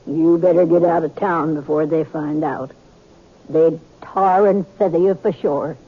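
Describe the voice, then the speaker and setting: Husky old frontier woman. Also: sits low, steady tone, a little slow. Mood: knowing. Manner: knowing, plain-spoken. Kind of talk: 1950s radio western acting. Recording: tinny, narrow old radio broadcast.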